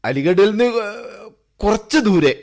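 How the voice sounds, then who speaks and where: Brief: an aging father, slow, heavy, tender. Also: high-pitched, expressive, a little mellow. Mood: measured. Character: grieving older father, gentle but broken.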